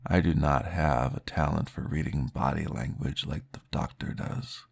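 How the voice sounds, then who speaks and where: A man voicing a calm, formal male vampire therapist in an ASMR roleplay, speaking in a measured, reassuring way. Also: a bass voice, creaky, breathy edge, full character voice.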